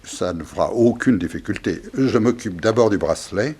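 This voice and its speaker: A haughty English detective in a sixties French radio serial. His low, husky voice boasts with pompous certainty. He is touchy and theatrical, with very animated intonation. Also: normal speed. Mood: confident, conspiratorial. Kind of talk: 1960s French radio drama acting.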